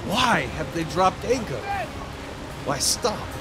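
A man's voice: high-pitched, expressive, slow, over loud music. A man playing a stern, haughty elven admiral in a fantasy audio drama, barking orders with scorn. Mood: tense. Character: stern, haughty male elven admiral, youthful but war-hardened. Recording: storm and rain noise behind. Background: rain and storm at sea.

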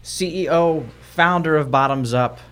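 A man's voice: on the high side, a little slow, fairly bright tone. A man hosting a podcast, interviewing a guest at the guest's office in an upbeat way, with frequent stammered restarts. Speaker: podcast host. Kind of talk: casual interview.

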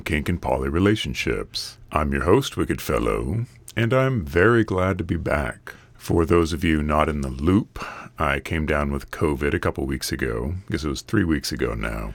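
A man hosting a solo podcast, his voice a bit raspy from a recent illness, talking in an earnest, steady, advice-giving way. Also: a bass voice, moderate pace, no echo. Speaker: podcast host. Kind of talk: casual solo podcast monologue.

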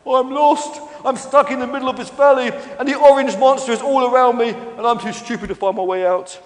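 A man voicing a naive, friendly young storybook stegosaurus, excitable and stammering, easily sent into a panic. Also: high-pitched, normal speed, natural voice. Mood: panicked, distraught. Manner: stammering, excitable, dim-witted. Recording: long echoey hall reverb.

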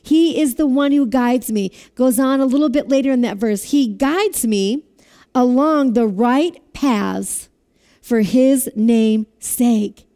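A woman preaches a sermon in a high, mellow voice. She has a loud voice and keeps asking her listeners to repeat lines back to her. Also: slow pace. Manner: asks the audience to repeat phrases.

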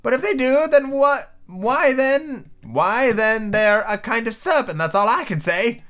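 A distressed, scolding pigeon from a storybook, acted out by a man. The voice is pushed far up high and swoops about with shrill indignation and contempt. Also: lively intonation, unhurried, fairly bright tone, exaggerated voice. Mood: sulky, scornful. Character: shrill, indignant, distressed female pigeon guarding her eggs. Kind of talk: storybook character voice.

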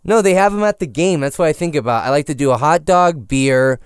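Goofy young dude riffing on a podcast, bouncy voice, smooth and clean, dry close sound. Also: male voice, on the high side, animated, fairly quick, no echo. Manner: goofy, rambling, jumps between bits. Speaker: podcast co-host. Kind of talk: casual joking chat.

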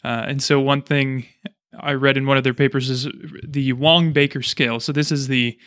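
A man's voice: mid-range voice, little pitch movement, normal speed, a bit bright, no room sound, studio quality. A man hosting a casual interview, chatting and explaining things as he goes. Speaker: podcast host, chiropractor.

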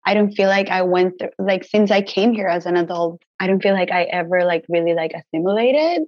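A woman's voice: lowish voice, brisk, a little mellow, no room sound, muffled recording. A young woman from Mexico, a native Spanish speaker, chatting casually as an interview guest with many "like" fillers and easy laughs. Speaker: guest herbalist.

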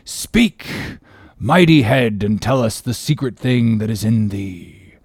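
A man's voice: on the low side, animated, slow, slightly rough, no echo, exaggerated voice. Male narrator acting brooding sea captain, grandiloquent, solemn, intense, dry close sound, storybook drama. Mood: brooding awe. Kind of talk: dramatic audiobook character reading.

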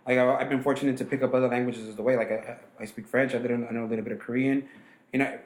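Male restaurant owner from LA, middle pitch, echoey room, rambles and restarts a lot. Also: little pitch movement, rapid-fire. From Los Angeles. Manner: rambling, many restarts and fillers. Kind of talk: casual interview talk.

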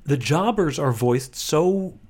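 A man's voice: fairly high pitch, lively intonation, slow, darkish tone. A man co-hosting a podcast, chatting casually and jokingly about cartoons. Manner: joking, riffing with mock voices. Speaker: podcast co-host.

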